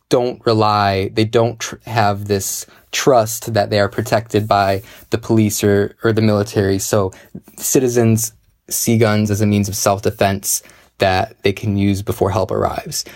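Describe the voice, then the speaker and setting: A man from the United States leaving a prepared voicemail for a show, talking in a measured, analytical way with some hesitant restarts. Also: fairly low pitch, no room sound, studio quality. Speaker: listener calling in a voicemail. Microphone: phone voicemail.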